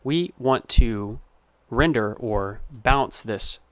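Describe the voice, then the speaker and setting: A man's voice: mid-range voice, extremely slow, no room sound. A man giving an informal tutorial as a sound designer, explaining his process step by step. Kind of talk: informal screen-recorded tutorial narration.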